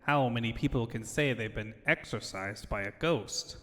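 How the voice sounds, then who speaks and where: Sly cartoon underling acted by a man; soft-spoken, servile, stammering, in a dry recording. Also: medium pitch, moderate pace, dry recording, pitched below the performer's own voice, lightly acted. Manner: deferential, stammering, quiet. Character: meek, sycophantic male henchman, sly underling.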